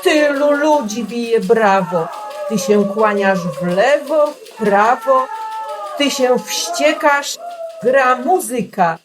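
A woman's voice: a little high, expressive, takes it slowly, noisy background. A woman voicing an eager young bull dreaming of glory, exclaiming boastfully in storybook rhyme. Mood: excited longing. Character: excited young bulls dreaming of arena glory.

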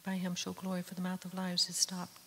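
A woman intones psalms and liturgical prayers in a near-monotone, her words trailing into soft whispers. Her voice sits low and gravelly and stays almost level in pitch. A long church reverb and faint hiss surround her. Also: a little fast. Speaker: liturgical reader. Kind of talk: solemn psalm reading. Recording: echoey church hall with hiss.

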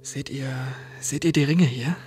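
An actor playing an unhinged, brooding male survival contestant in a horror audio drama, with a halting, whispery, fluttering voice. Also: medium pitch, darkish tone, husky, soft background music. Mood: brooding, eerie calm.

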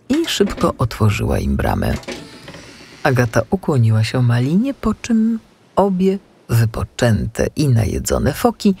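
Male audiobook narrator for children's stories; measured, expressive, slightly creaky, varied intonation. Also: medium pitch. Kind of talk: scripted children's story narration.

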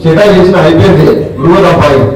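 A man's voice: a little high, racing, a little mellow, close to an everyday voice. A man playing an impatient, careless young coal miner in a colloquial stage play, speaking hastily. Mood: impatient, grumbling. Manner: hasty, grumbling. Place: open-air stage, distant camera. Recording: echoey stage, far from the mic.

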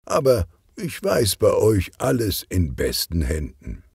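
A cheerful, portly police chief , as a male reader puts him on from a children's audiobook. His low voice takes its time and swings through lively inflections, teasing and good-humoured. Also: slow pace, vocal fry, slightly rough, dry recording, clean studio sound, heavily acted. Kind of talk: children's audiobook character reading.

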